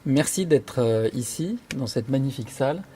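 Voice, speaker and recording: A man's voice: medium pitch, lively intonation, normal speed, mellow, slight background noise. A man interviewing a guest in a friendly, informal way, sounding some distance from the microphone. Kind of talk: interview questions.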